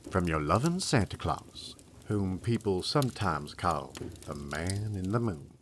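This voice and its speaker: A man's voice: low voice, expressive, a little slow, a bit bright, a little hiss or hum. An actor playing a kindly, playful Santa Claus reading his letter fondly and expressively to a child. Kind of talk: dramatic reading of a letter in audio drama.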